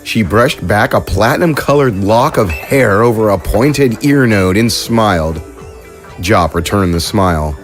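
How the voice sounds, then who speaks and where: Low-voiced man narrating a sci-fi story. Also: animated, measured pace, faint music behind. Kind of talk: scripted audiobook narration.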